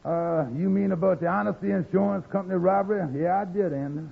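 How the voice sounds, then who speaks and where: A man's voice: high voice, steady tone, fast, gravelly, breathy edge, exaggerated voice. An actor playing an earnest, fatherly, plain-spoken friend in a golden-age radio sitcom, moralizing in folksy nonstandard grammar. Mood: earnest, firm. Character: earnest, honest, fatherly working man, patient friend. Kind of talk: 1940s-50s radio sitcom acting. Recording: muffled old radio recording.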